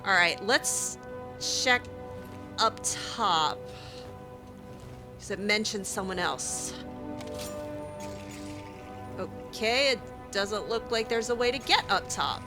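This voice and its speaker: A woman's voice: medium pitch, lively intonation, slow, long pauses. A woman playing a video game in character, chatting along with plenty of fillers and restarts. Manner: chatty, hesitant, lots of fillers. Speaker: let's play gamer. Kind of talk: in-character game roleplay commentary. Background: faint game music.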